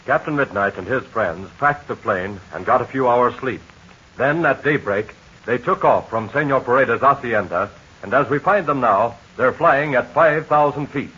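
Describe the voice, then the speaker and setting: A man's voice: medium pitch, lively intonation, normal speed, bright, forceful tone, husky. A man announcing and narrating a 1940s radio serial. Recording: dull lo-fi 1940 radio recording.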